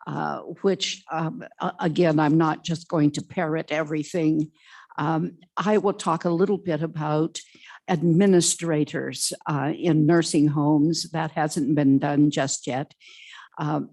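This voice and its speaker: A woman with a low, loud voice gives testimony at a committee hearing, speaking slowly and stopping often on fillers. She talks into a table microphone in a legislative hearing room. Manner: slow, halting, with many fillers. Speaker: health care association representative testifying.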